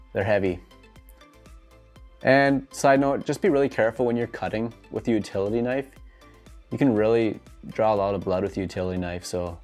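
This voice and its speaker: A man's voice: medium pitch, darkish tone. Casual man with music behind him. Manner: jokey, tongue-in-cheek asides. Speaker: host of a home gym DIY channel. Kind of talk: casual instructional vlog to camera. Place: garage home gym, indoors.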